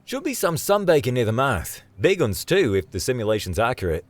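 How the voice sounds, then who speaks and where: Man acting a brash, excitable bloke, pitched up. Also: fairly quick, no echo, natural voice. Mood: excited, daring. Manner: breezy, slangy, excitable. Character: young cheerful fearless Australian man, brash and enthusiastic.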